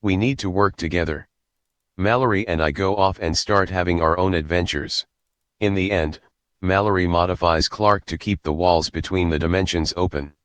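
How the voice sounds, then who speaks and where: Man, very low voice, dry close recording, reading a story script aloud as narrator. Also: normal speed, a bit bright, no echo.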